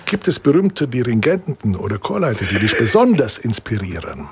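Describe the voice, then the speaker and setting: A man's voice: medium pitch, huge pitch swings, normal speed, husky. A man interviewing a guest, speaking in an encouraging, rambling way. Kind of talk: casual interview talk.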